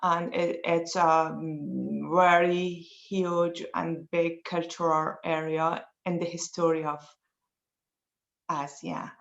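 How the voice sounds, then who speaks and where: A woman's voice: lowish voice, flat delivery, slow pace, creaky. A woman with an Afghan accent, a native Persian speaker, answering interview questions hesitantly and searching for words in English. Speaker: interview guest, poet and women's rights advocate. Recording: roomy echo, dull lo-fi call audio.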